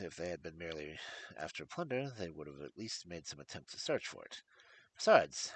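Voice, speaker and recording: A man reading a story aloud, voicing a cool, incisive male detective who reasons in a measured, clipped way. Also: on the low side, a bit bright, husky, pitched below the performer's own voice, full character voice. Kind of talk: audiobook character voice.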